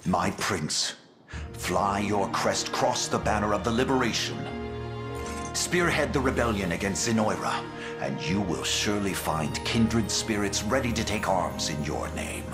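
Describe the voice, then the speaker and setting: A solemn royal counselor from a fantasy game, with a deep voice. He speaks in formal, loyal oratory, with faint music behind him. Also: lively intonation, measured pace. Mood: solemn, rousing. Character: grave, loyal male elder counselor to a prince.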